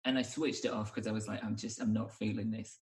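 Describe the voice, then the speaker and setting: A man's voice: on the low side, little pitch movement, fast talker, darkish tone, recorded from a distance. A man chatting casually about films, speaking thoughtfully and often rephrasing as he goes. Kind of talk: casual film chat.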